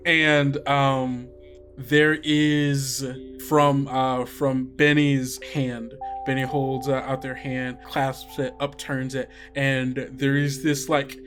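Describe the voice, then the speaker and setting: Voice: medium pitch, level tone, drawn-out words, a bit bright, faint music behind. A game master narrating a tabletop game in rambling, filler-heavy speech.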